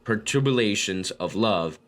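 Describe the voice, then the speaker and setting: Low-voiced man reading aloud, casual asides. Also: animated, slow, fairly bright tone. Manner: profane, informal asides with bro slang. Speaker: book read-along host. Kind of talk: reading a book aloud with casual, slangy commentary.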